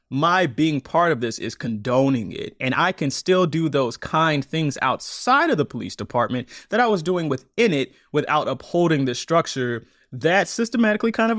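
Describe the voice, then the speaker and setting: A man in his thirties talks through his story as a podcast guest, animated and quick to laugh. His voice sits at a middle pitch. The sound is dry and close, with no room around it. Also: no echo. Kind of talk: conversational interview.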